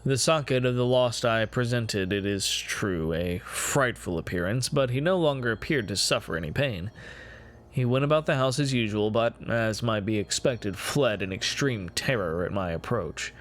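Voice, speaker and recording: A man voicing a remorseful, perverse man confessing dark crimes in a solemn, theatrical reading of a gothic horror story. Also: mid-range voice, expressive, lightly acted. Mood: grave, brooding. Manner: solemn, deliberate, theatrical, formal.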